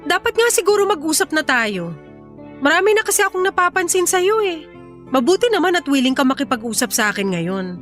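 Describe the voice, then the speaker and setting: A woman's voice: sits high, sing-song, fairly quick, a bit bright, soft background music. A woman acting in a radio drama as a hurt young mother, pleading emotionally and reproachfully with her partner. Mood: anxious pleading.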